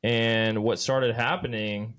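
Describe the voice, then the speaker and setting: A man's voice: medium pitch, steady tone, takes it slowly, fairly bright tone. A man in his late twenties, co-hosting a podcast, telling rambling stories in loose, profane casual chat. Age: late 20s. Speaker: podcast co-host.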